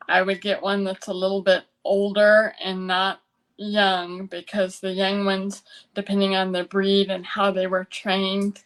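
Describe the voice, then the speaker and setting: An American woman with a mid-range voice talks at an unhurried, slow pace. She is an interview guest speaking in a personal, conversational way, with frequent halts as she gathers her thoughts. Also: medium pitch, a bit bright, rough recording.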